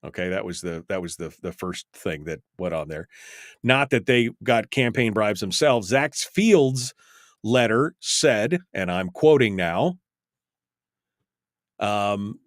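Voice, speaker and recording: A man's voice: mid-range voice, no room sound. A man hosting a freeform talk radio call-in show, rambling in an emphatic, self-mocking way on a close studio microphone.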